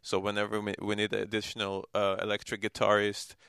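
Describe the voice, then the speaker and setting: A man's voice: on the low side, monotone, quick pace, gravelly, fairly bright tone, smooth, no room sound, clean studio sound. A man from Armenia with an Armenian accent, a musician answering casually as an interview guest.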